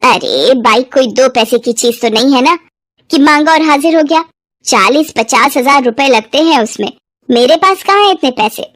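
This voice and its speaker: A woman's voice: high voice, expressive, moderate pace, a bit bright, no echo, studio quality. A woman voicing a stepmother in a moral story, bossy and resentful, who dotes on her son. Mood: exasperated. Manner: scolding, bossy.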